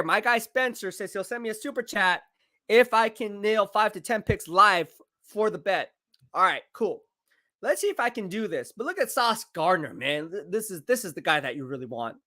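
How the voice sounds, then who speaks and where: A man live-streaming football draft commentary, excitable and hyping each pick, his voice going hoarse. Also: high voice, no room sound, studio quality. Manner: excitable, hypes picks, reads chat aloud. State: losing his voice.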